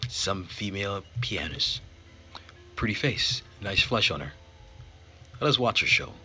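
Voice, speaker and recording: Man acting snide gossiping theatre-goers, forceful edge. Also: lowish voice, lively intonation, a little slow, soft background music, exaggerated voice.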